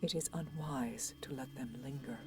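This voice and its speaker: An actress playing a wary, prophetic alien seer in a science fiction audio drama, solemn, measured and breathy. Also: female voice, rumbling low, over music. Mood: wistful.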